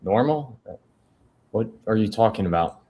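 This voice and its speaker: A man reads a lonely, worn-down proofreader in a film drama table read. His voice is deep, and he keeps the delivery low-key and conversational, with a wry, questioning edge. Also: lively intonation, a little slow, dark tone, breathy edge. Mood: tired, dry. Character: Cassidy, weary, lonely male newspaper proofreader, wryly curious.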